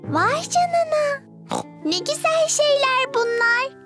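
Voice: very high-pitched, very animated, takes it slowly, full character voice. An actor voicing a cheerful, curious and bossy little girl pig in a children's cartoon, with a childlike, earnest manner. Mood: curious, impressed. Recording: music behind the voice.

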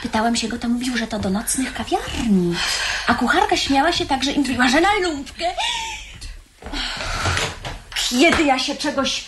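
A woman's voice: high-pitched, lively intonation, a little fast. An actress playing a bold, teasing, impulsive teenage girl in a theatrical radio drama. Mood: teasing, scornful. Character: bold, teasing, mischievous teenage girl, eager for grown-up secrets. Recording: noisy 1970s radio play recording.

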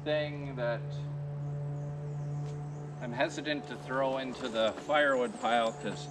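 A man in his thirties chatting casually about his work at a sawmill clearing in the woods, relaxed and folksy, with plenty of easygoing fillers. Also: medium pitch, measured pace, loud music behind the voice. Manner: relaxed, folksy, lots of "kinda thing" fillers. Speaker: sawmill vlogger. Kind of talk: casual how-to chat. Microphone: far camera on a tripod. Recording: distant voice over loud outdoor noise.

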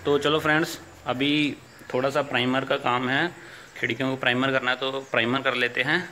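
Man in his 30s, chatty home vlogger, bright tone, phone-at-arm's-length sound with faint noise. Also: mid-range voice, flat delivery, brisk. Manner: chatty, explains step by step. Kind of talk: casual home-building vlog narration. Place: house under construction, indoors and on a veranda.

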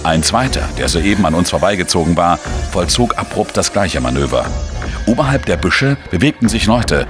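An actor playing a world-weary male investigator, narrating his case in a measured, introspective way for a crime audio drama. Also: sits low, expressive, over music. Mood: tense narration.

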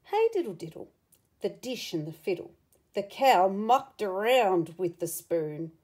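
A woman reading a picture book aloud to children, with expressive, dramatic emphasis and asides to the listener. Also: mid-range voice, unhurried, husky.

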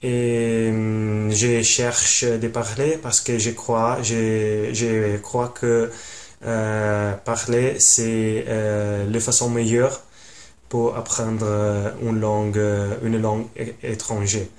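A man in his twenties with an Italian accent, introducing himself in a learner's halting way with many pauses and fillers. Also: fairly low pitch, level tone, a little mellow. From Italy. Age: 20s. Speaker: language learner. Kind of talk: casual self-introduction. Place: small room at home.

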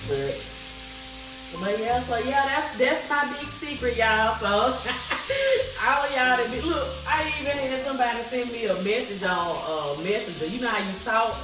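A woman's voice: fairly high pitch, lively intonation, normal speed. A middle-aged woman chatting casually in a folksy, colloquial way, as host of a family vlog. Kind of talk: casual kitchen vlog chat. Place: home kitchen. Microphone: camera on tripod at a distance. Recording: roomy echo, distant mic, background music.

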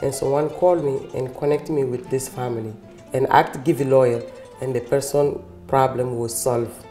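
A woman's voice: rumbling low, steady tone, normal speed, warm tone, echoey room, soft background music. A woman, a community guardian, interviewed, recounting how she helped a family. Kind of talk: interview testimony.

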